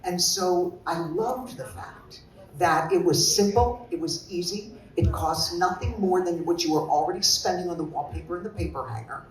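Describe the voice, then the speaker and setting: A man's voice: high-pitched, normal speed, echoey room, distant mic, poor audio. Man telling a story on a panel. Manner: animated storyteller acting out quotes. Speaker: designer on a panel.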